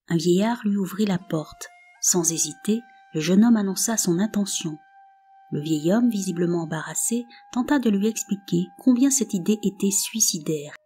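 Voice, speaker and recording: A woman narrating a folk tale in a calm, measured storybook reading with soft phrase endings. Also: on the low side, husky. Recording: narration over faint background music.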